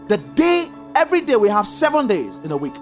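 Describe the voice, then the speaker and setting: A preacher teaching a congregation, stressing points in slow drawn-out repeated commands. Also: medium pitch, soft background music. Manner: lively sing-song emphasis, slow drawn-out repeated commands. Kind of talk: sermon teaching.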